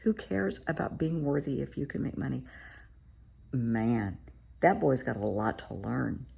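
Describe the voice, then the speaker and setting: Raspy, croaky woman with a cold. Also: rumbling low, animated, a little slow, warm tone. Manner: frank, encouraging, speaks straight to the viewer. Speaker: therapist. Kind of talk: casual direct-to-camera advice talk. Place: her living room.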